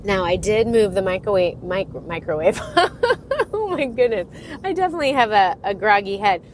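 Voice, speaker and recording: A woman's voice: medium pitch, animated, normal speed. A middle-aged woman hosting her own podcast, stuffy from a cold, talking in a rambling, chatty way and often laughing. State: congested from a cold. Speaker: podcast host and divorce coach. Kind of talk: casual solo podcast talk. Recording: audible background noise.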